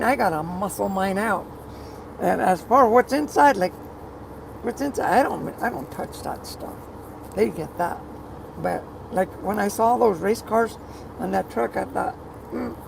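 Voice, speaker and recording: Gravelly-voiced live streamer, slow and rambling, chatting to viewers over steady road noise. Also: mid-range voice. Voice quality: rough gravelly voice. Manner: rambling, repeats words, talks to viewers by name. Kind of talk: casual live-stream chat reading comments.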